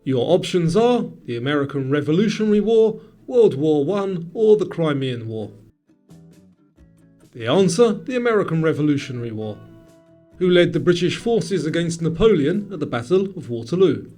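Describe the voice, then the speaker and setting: High-pitched male quiz host, lively intonation. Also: moderate pace. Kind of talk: scripted quiz narration. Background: background music.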